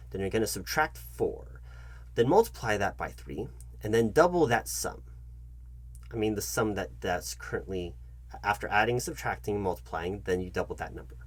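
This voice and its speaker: A man with a fairly low, husky voice plays a job interviewer in a comedic roleplay. His pitch moves around in a very animated way. He talks directly to the listener, fussy and halting, often repeating his instructions. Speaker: ASMR channel host acting as job interviewer.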